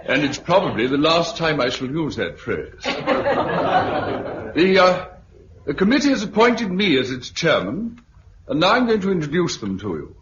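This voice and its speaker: A man's voice: a little high, very animated, measured pace, a bit bright. An actor with a British accent playing a hearty, courteous committee chairman rallying survivors in 1950s radio drama style. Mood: earnest, rallying. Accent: British English. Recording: dull, hissy 1950s radio recording.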